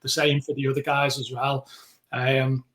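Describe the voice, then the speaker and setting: A man with a Liverpool accent, co-hosting a podcast in relaxed, rambling chat. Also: medium pitch, moderate pace. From England. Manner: chatty, rambling with frequent restarts. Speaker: podcast co-host.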